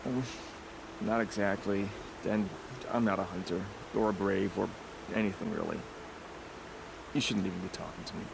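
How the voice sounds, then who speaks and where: Sad outcast hunter in a game, creaky voice, hesitant, puts himself down, noisy ambience behind. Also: male voice, low-pitched, steady tone. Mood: sheepish. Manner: hesitant, halting, self-deprecating. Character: dejected, self-deprecating outcast young tribesman, failed hunter. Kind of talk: video game voice acting. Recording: clear background ambience noise.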